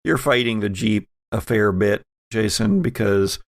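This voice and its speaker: Male game master, conversational, slow delivery, gravelly, close dry sound. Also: on the low side, lively intonation, no room sound. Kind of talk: narrating a tabletop roleplaying game.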